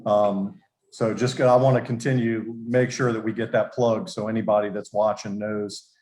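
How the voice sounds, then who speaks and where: A middle-aged man co-hosting a casual video call interview, relaxed and slightly halting. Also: lowish voice. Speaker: co-host. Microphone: video call webcam. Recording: roomy echoing webcam audio.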